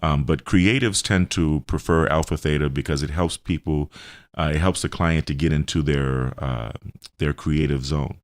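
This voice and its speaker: A man with an extremely low voice and an American accent, recorded close and dry. He speaks as a radio host on health, laying out explanations simply, one step after another. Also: moderate pace, dry recording. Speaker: radio show host and health center owner.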